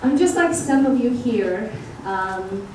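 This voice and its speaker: A woman's voice: on the high side, expressive, slow, darkish tone. A woman with a Chinese accent, a school board candidate, giving an earnest forum statement in non-native phrasing. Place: outdoor park event. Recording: echoey, far from mic, noisy.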